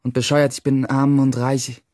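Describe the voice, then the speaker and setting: A man's voice: mid-range voice, level tone, normal speed, darkish tone, dry recording, clean studio sound, close to an everyday voice. An actor playing a grandiose, self-mocking teenage nerd loner, narrating his own story dramatically in a radio play. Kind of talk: first-person radio play monologue.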